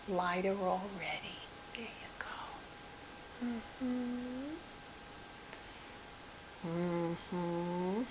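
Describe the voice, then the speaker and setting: A woman playing a gentle, caring psychotherapist in an ASMR roleplay, speaking softly and often whispering in a hesitant, reassuring way. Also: fairly low pitch, background noise. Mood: gentle, delighted. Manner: hesitant, soothing, reassuring, with many soft pauses. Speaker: ASMR performer.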